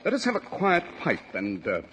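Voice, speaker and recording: A commanding British gentleman detective in a vintage radio play. He is shrewd and deliberate, with lively inflection at a medium pitch. Faint noise and clicks sit on the old recording. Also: low-quality recording. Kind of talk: 1940s radio acting.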